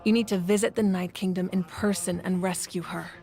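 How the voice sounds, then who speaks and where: A woman playing a composed, authoritative war deity and leader in a video game, speaking in a measured, serious way. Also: fairly low pitch, steady tone, lightly acted. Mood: resolute. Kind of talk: video game character acting. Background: music.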